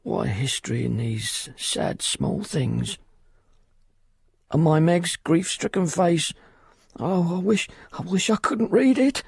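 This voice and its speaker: An actor playing an anxious, grieving father, husky and gravelly, telling what he sees in halting, repeated phrases in a radio drama. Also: fairly high pitch, no room sound, clean studio sound, heavily acted. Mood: sorrowful. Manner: halting, repetitive, hushed storytelling.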